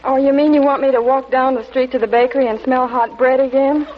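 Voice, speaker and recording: Casting: woman playing softhearted single girl, high voice, old radio comedy, muffled vintage sound. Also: flat delivery, a little fast, natural voice. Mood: sympathetic, gentle. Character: sweet, lovelorn single young woman, softhearted. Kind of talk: 1940s radio comedy acting.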